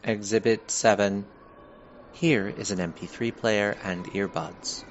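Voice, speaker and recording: A man playing a formal official who presents evidence in scripted, measured, matter-of-fact narration for a fantasy audio drama. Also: lowish voice. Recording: dull sound with steady background noise.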